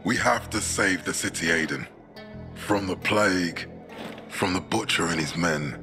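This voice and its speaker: An actor playing a stern male military commander who barks orders and speaks gravely of duty. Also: low-pitched, a little slow, music in the background. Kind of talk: video game cutscene acting.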